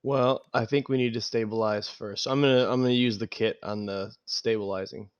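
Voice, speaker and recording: A man's voice: on the low side, steady tone, brisk, smooth, no echo, lo-fi sound. A man taking part in a tabletop roleplaying game as a player, talking casually through his moves. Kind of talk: casual in-game play talk.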